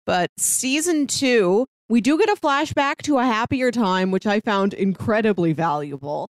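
A woman co-hosts a review podcast in casual conversation. Her voice is a little high and moves around animatedly in pitch. The sound is dry and close, with very little room in it. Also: expressive, normal speed, no echo. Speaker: podcast co-host.

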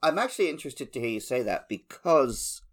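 A man's voice: medium pitch, very animated, a little fast, a bit bright. A man co-hosting a podcast, chatting casually about a video game and often searching for his words as he goes. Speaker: podcast co-host.

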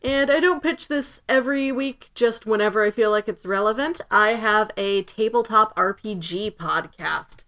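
A woman's voice: mid-range voice, moderate pace, hardly any pauses, a bit bright. A woman co-hosting a podcast in casual chat, talking in a chatty, wry way and correcting herself as she goes. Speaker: podcast co-host.

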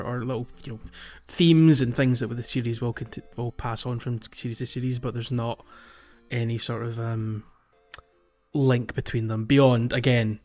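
A man co-hosting a casual podcast discussion, speaking with hesitant, thoughtful pauses. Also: mid-range voice, darkish tone. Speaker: podcast co-host. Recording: slightly dull, lo-fi mic, music behind.

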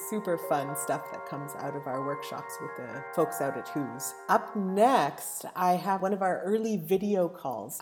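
A woman's voice: sits low, a little mellow. A woman hosting a podcast, narrating from a script. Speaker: podcast host.

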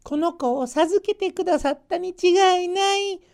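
A kindly, delighted old granny from a storybook folktale, put on by a male reader. The voice is extremely high and thin, a falsetto with drawn-out, singsong and polite phrasing. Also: creaky, a little mellow. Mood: amazed, delighted. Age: older. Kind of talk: storybook character voice.

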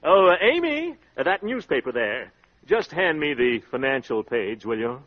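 An actor playing a blustering, wheedling freeloader in a 1940s radio comedy, with theatrical delivery. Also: male voice, medium pitch, sing-song, moderate pace. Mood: self-satisfied. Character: Aubrey, blustering freeloading man being fussed over. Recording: muffled 1940s radio recording.

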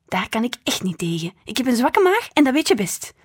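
A woman's voice: a little high, very animated, fairly quick, slightly rough, pitched above the performer's own voice. A woman voicing a fussy, complaining old grandmother in an audiobook, grumbling and indignant. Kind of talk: audiobook reading.

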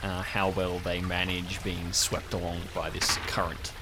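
A man's voice: deep voice, monotone, measured pace, noisy background. A man working as game master, improvising vivid second-person narration of a tabletop adventure. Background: music.

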